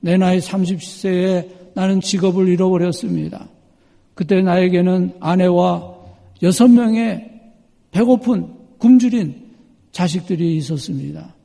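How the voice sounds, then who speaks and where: A man in a church preaching a sermon, his voice set high for a man and roughened with a gravelly edge. He speaks in a measured, emphatic way and leans on repeated phrases. The sound carries a long reverb from the hall around him. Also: lively intonation, warm tone. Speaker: pastor.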